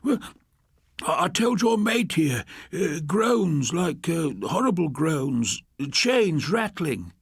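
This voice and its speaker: A man voicing a nervous, shabby middle-aged ex-addict with a hesitant, croaky voice. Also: a little high, big pitch swings, slow pace, no room sound, clean studio sound, voice pushed up, exaggerated voice.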